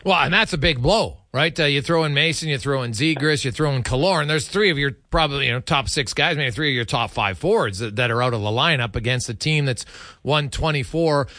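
A man's voice: mid-range voice, brisk, sharp tone, no room sound, clean studio sound. A man hosting a sports radio interview, asking questions in a conversational way.

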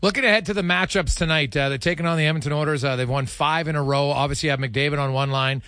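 A man's voice: fairly high pitch, steady tone, quick pace, bright, forceful tone, clean tone, no room sound. A man hosting a sports radio show, asking questions in a casual, conversational interview style.

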